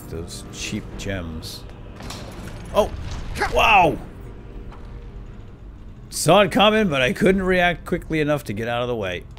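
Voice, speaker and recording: A man hosting a let's play with lightly role-played commentary, murmuring asides and breaking into exclamations. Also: medium pitch. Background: game music.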